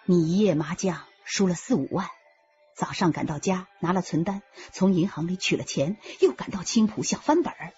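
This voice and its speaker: A woman's voice: lowish voice, lively intonation, moderate pace, dark tone, highs cut off, soft background music, natural voice. An actress playing a blunt, assertive woman in a radio drama, pointed and confronting, who sees through lies. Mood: knowing, pointed.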